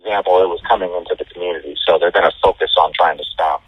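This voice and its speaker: A firefighter explains things in a very low, husky voice, halting and plainspoken. The sound is dry and close. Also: male voice, fairly even intonation, no echo, highs cut off. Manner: halting, fillers and restarts, plainspoken. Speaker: firefighter being interviewed. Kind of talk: unscripted interview answers.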